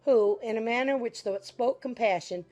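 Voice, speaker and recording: A woman's voice: a little high, normal speed, a little mellow, soft background music. A woman giving a scripted literary narration for a dramatized audiobook.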